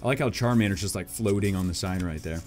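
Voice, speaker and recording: A man's voice: deep voice, fast talker, a little mellow, soft background music. A man in his thirties hosting a casual vlog, joking and self-deprecating as he comments. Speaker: YouTube host reading manga aloud.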